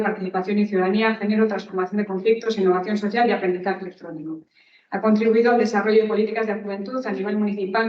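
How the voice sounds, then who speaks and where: Brisk woman moderator reading an introduction. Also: mid-range voice. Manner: brisk, formal reading of a list. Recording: echoey hall, distant mic, muffled.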